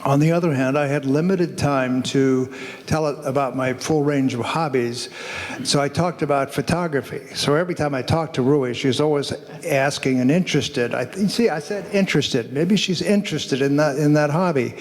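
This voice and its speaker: A man's voice: fairly high pitch, moderate pace. Older man, panelist, anecdotal, self-correcting, roomy hall sound. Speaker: panelist, older adult robot tester. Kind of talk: panel discussion. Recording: hall reverb on the voice.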